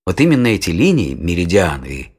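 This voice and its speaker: A patient father with a low, husky voice. He explains in a measured, teacherly way, as in an educational audio drama. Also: big pitch swings, a little mellow, heavily acted. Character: patient father explaining science to his children.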